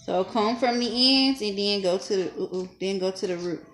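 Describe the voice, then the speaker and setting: A young woman talking casually through a hair-braiding tutorial at home, chatty and self-teasing, laughing often, recorded on a camera propped low in front of her. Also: normal speed, a bit bright. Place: small dim room at home. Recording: echoey room, faint noise.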